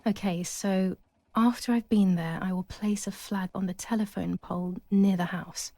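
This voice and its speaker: Mellow, pensive young woman played by an actress. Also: mid-range voice, steady tone, moderate pace, a little hiss or hum, lightly acted. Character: young Italian woman in wartime, pensive and uneasy. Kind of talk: first-person game monologue.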